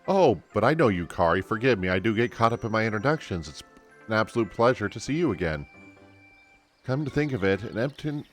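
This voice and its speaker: A man's voice: lowish voice, very animated, a little fast. A man voicing a courteous, effusive woman emissary from a fantasy game in formal, flowery speech. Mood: courteous, warm. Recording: game music behind the voice.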